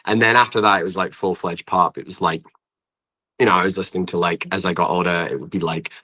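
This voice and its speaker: A man's voice: deep voice, fairly even intonation, fast talker, sharp tone. A young British man chatting casually as an interview guest, rambling informally and peppering his talk with "like". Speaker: guest singer-songwriter. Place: at home in an apartment.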